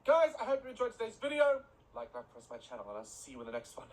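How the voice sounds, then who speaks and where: A man's voice: sits high, wildly swooping pitch, racing. A man hosting a casual reaction video, blunt and sweary with joking rants, at home with building work nearby. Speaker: YouTube reaction host. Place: home, bathroom being redone nearby. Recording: loud building noise behind.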